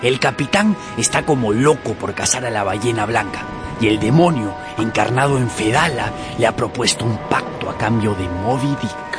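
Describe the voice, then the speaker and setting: An actor playing a superstitious ship's officer who talks in a hushed, conspiratorial way. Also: male voice, medium pitch, normal speed, over music, lightly acted. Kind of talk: radio drama acting.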